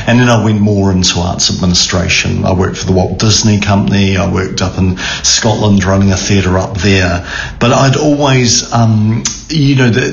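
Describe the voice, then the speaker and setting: A middle-aged man with a New Zealand accent, a theatre chief executive answering radio interview questions in a measured, thoughtful way with frequent ums and restarts. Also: lowish voice, gravelly. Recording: roomy, dull sound with faint background noise.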